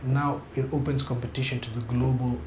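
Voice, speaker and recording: A man's voice: medium pitch, level tone, fairly quick, a little mellow. A man from Kenya speaks bluntly and emphatically in a sit-down interview. His voice carries a roomy echo with a faint hiss of background noise behind it. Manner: frank, emphatic, blunt opinions. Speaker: TV series director.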